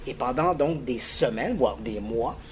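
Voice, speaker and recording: A middle-aged man explaining a strange case as a guest, telling it like an animated storyteller who chuckles easily. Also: a little slow, slight background noise. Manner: animated, lively storyteller with frequent pitch jumps. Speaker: guest expert on paranormal cases.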